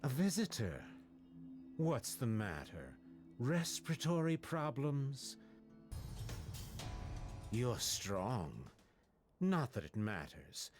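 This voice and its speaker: A man playing a grave, secretive academy doctor in a video game, with deliberate, solemn delivery. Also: mid-range voice, sing-song. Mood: menacing. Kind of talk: dramatic video game voice acting. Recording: music behind the voice.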